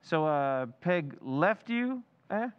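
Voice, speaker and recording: A man's voice: on the high side, big pitch swings, slow, smooth, no echo, highs cut off. A man playing an easygoing but evasive old pal in an old-time radio comedy, hesitant and placating.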